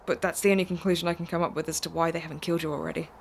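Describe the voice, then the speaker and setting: Casting: mysterious female protector, low-voiced, calm and knowing, audio drama. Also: very fast, vocal fry, a little hiss or hum. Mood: cool, assured. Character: mysterious, calm, insistent woman driver, secret protector.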